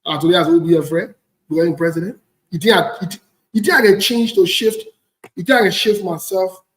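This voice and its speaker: A West African man with a fairly high voice rants about politics in an echoey room. He speaks bluntly and indignantly, hammering phrases through repetition. The delivery is unscripted, like an online commentator. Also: darkish tone. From Ivory Coast.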